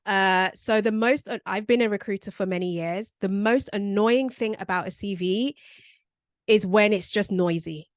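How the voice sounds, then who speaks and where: A woman's voice: mid-range voice, normal speed, no room sound, clean studio sound. A woman, presenting a career workshop over a video call in a conversational way with frequent ums. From born in Nigeria, raised in South London.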